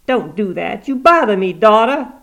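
A man reading aloud, voicing a sharp-tongued, stubborn old woman on her deathbed who scolds and commands. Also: mid-range voice, big pitch swings, normal speed, creaky, pitched above the performer's own voice. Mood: scolding. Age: 80s.

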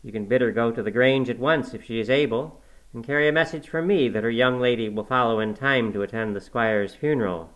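A man's voice: medium pitch, brisk, fairly bright tone, lo-fi sound, close to an everyday voice. Cold, sardonic master of the house, read by a man. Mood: sardonic, commanding. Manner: curt, sardonic. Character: cold, scheming, commanding master of the house, a villain. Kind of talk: audiobook character acting.

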